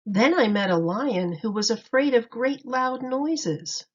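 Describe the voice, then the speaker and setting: Lady doing a shy polite little boy turtle from a storybook, earnest, slow, slightly muffled. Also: on the high side. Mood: earnest, surprised. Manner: polite, pleading. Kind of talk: children's storybook read-aloud. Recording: dull lo-fi recording.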